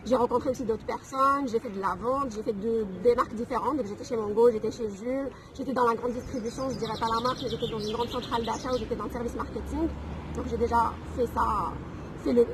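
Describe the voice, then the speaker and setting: A woman in her thirties from Algeria, telling her story in a casual interview with frequent fillers. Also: a little high. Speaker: guest. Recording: noisy background.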